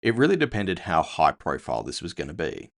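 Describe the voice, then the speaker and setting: A man's voice: sits low, wildly swooping pitch, brisk, creaky. A man narrating scripted first-person fiction with a wry storytelling manner.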